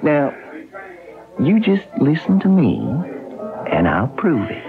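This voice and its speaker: A man's voice: fairly high pitch, wildly swooping pitch, takes it slowly, heavily acted. An actor playing a folksy, scheming male con artist in a radio drama, coaxing and insistent like a salesman. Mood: persuasive. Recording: tinny 1970s radio broadcast, faint music.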